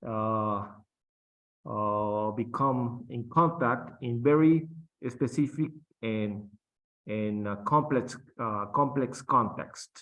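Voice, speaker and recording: A middle-aged man whose first language is Spanish speaks slowly and haltingly, with many fillers and restarts. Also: medium pitch, a bit bright, poor audio. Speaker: guest captain. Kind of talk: interview on aviation.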